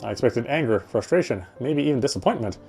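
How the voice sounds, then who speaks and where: Mellow, quick man narrating reflectively. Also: mid-range voice, animated, creaky. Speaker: player reading a visual novel aloud. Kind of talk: dramatic reading of first-person game narration. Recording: music behind the voice. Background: game music.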